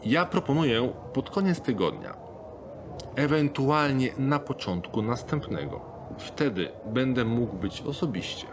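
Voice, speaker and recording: A man plays a courteous locksmith shopkeeper in a dark fantasy audio drama. His pitch is middling and swings in a lively way as he politely pushes his wares. A faint bed of noisy ambience sits behind him. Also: a little slow. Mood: helpful. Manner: courteous, businesslike, eager to please. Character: polite, sales-minded male locksmith shopkeeper.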